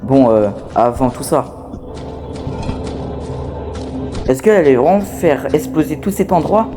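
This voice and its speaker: A man's voice: a little high, lively intonation, normal speed, over music, lightly acted. A man voicing a scrappy, talkative toy outcast, wary but scheming, in a fan dub of a horror game. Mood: hushed urgency. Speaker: amateur voice actor dubbing a game character.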